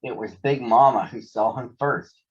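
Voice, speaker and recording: A man's voice: mid-range voice, normal speed, highs cut off. A man narrating a children's story from a script as a storybook reader.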